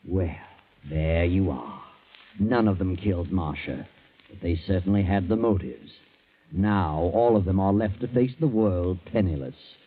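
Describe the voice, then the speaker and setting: An actor playing a sinister, sardonic storyteller who narrates a 1940s radio mystery in a theatrical manner. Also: male voice, low-pitched, unhurried, breathy edge, heavily acted. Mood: sardonic, ominous. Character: sinister, mocking storyteller gloating over doomed sinners. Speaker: narrator and host of a mystery series. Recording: dull vintage radio recording with music.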